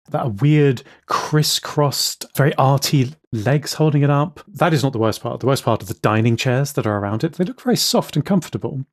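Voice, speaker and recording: A man's voice: mid-range voice, no echo. A man co-hosting a casual podcast, opinionated and wry, with dry sarcasm in how he talks. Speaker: podcast co-host, programmer.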